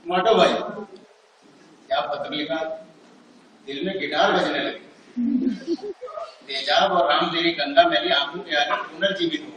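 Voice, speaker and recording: A man's voice: a little high, fairly even intonation, measured pace, pauses often, pushed and bright, dull audio, a little hiss or hum. A man on stage reading out a wry, reminiscing reply letter about his working life, in an auditorium. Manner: wry, reminiscing, self-mocking. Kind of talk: stage play monologue. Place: large auditorium. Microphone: unamplified stage, far from the recorder. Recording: echoey hall from far off.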